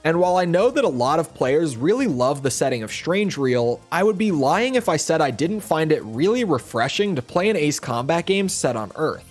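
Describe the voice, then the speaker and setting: A man reading a scripted video essay voiceover as a game reviewer, slightly hoarse, explaining in a steady, upbeat way. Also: fairly high pitch, normal speed, few pauses, faint music behind. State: slightly hoarse, near losing his voice.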